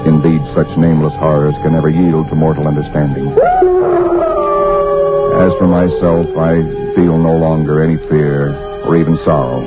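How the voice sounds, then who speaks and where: A doomed, haunted narrator. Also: male voice, very deep voice, little pitch movement. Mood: dread. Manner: slow, dread-filled, confessional. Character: haunted man awaiting his doom alone. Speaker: narrator of a radio play. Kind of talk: 1940s radio drama acting. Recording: dull lo-fi old radio recording with music.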